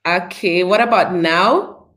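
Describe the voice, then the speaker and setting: A woman's voice: lowish voice, slow, a bit bright. A Kenyan woman hosting a podcast interview over a video call, with upbeat, speech-like introductions. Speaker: podcast host. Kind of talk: live online interview.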